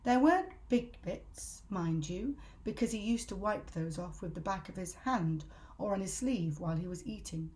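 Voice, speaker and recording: A woman's voice: mid-range voice, animated, normal speed, darkish tone. A woman reading a children's story aloud as a storyteller, with dramatic, emphatic delivery.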